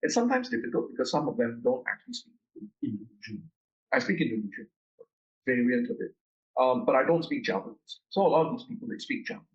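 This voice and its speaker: Halting, soft-spoken man, slightly muffled. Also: mid-range voice, very animated. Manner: halting, many restarts and repeated words. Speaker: researcher guest. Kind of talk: conversational interview.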